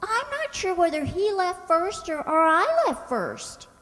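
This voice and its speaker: A woman's voice: very high-pitched, sing-song, unhurried, loud music behind the voice, exaggerated voice. A woman playing a sweet, scatterbrained young secretary, naive and earnest, in old-time radio comedy style. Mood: earnest, cheerful. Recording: echoey hall recording.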